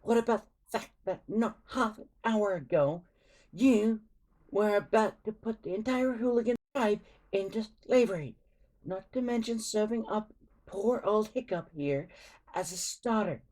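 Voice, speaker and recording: Lady as a awkward earnest viking kid, pitched up high, storybook reading. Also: measured pace, darkish tone, natural voice, low-quality recording. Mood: cold indignation. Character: shy, awkward but indignant young Viking boy.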